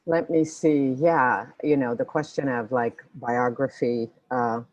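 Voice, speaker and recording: A woman in her forties from Ethiopia, an artist reflecting thoughtfully on her work in a panel conversation, pausing often as she searches for words. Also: a bass voice, steady tone, darkish tone, no room sound. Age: 40s. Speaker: artist guest.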